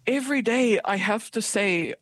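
A woman's voice: medium pitch, expressive. Earnest young New Zealand woman. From South Auckland, New Zealand. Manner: earnest and reflective, halting with many restarts. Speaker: guest, young writer. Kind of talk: casual conversational interview.

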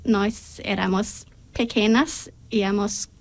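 A young woman from New Zealand, a native English speaker, answering interview questions hesitantly, often pausing to search for words and laughing lightly. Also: medium pitch, steady tone. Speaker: guest.

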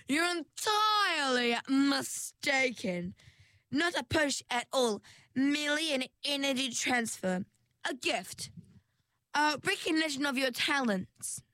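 A woman's voice: high voice, lively intonation, slow pace, pushed and bright, dry recording, pitched above the performer's own voice, full character voice. A woman voicing a scheming, elegant female mermaid villain who speaks in a pleasant, condescending way. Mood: smug.

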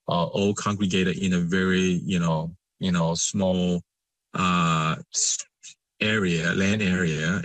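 A man from Malaysia, a guest in a conversational interview, speaking haltingly with many fillers and restarts. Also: low voice, fairly bright tone, no echo.

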